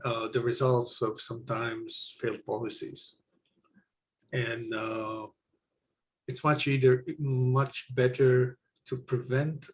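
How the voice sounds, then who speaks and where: A man from Israel, a lung doctor, answering interview questions in a halting way with many pauses. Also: medium pitch, poor audio. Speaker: pulmonologist guest.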